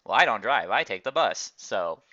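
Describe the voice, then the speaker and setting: A man's voice: fairly low pitch, big pitch swings, moderate pace, bright, forceful tone, no room sound. A man hosting a casual podcast over online voice chat with dry, teasing delivery and slightly dull sound. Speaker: podcast host.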